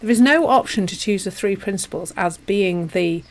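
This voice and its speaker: A woman with a Yorkshire accent teaching and chatting casually with viewers on a live stream, self-deprecating and warm in her asides. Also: medium pitch, moderate pace. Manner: chatty and self-deprecating, with frequent restarts and laughs. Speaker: meditation teacher.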